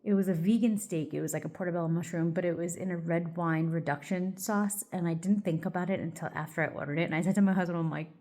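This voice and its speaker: Rambling woman with a lowish voice. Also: fairly even intonation, quick pace, hardly any pauses, mellow. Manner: rambling, loose, full of "like" and "um". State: a little congested, stuffy. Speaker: vlogger. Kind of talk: casual get-ready-with-me chat.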